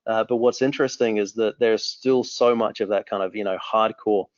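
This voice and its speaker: A New Zealand man in his forties talks with eager energy, often restarting his sentences. His voice sits fairly low. The audio is dry and a little dull, as if captured on a modest mic. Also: steady tone, normal speed, no room sound. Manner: enthusiastic, rambling with frequent restarts. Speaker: company founder. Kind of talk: remote interview answers.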